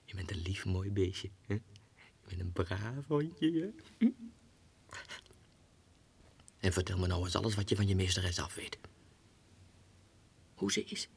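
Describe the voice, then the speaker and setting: Casting: deluded, lovesick clerk for radio drama monologue; volatile, gravelly, huge pitch swings, whispery confidences. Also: male voice, exaggerated voice. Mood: coaxing, secretive, then pleading, agitated. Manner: theatrical, volatile, breaks into breathy whispers. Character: lovesick, deluded male clerk, sliding into madness. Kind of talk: 1960s radio drama monologue, diary read aloud. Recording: 1960s radio recording with music.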